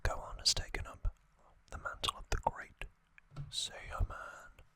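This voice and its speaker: A host whispers an ASMR recap slowly and softly, with every word voiceless and breathy. The whisper has a bright, crisp edge. The delivery stays relaxed and conversational, with a few fillers. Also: dry recording. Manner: soft unvoiced whisper with casual fillers and asides.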